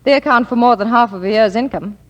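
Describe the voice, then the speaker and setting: A woman acting a brisk, dryly witty lady doctor in a 1940s radio style, crisp and authoritative. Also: a little high, natural voice. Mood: dry, teasing. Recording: reverberant 1940s radio broadcast.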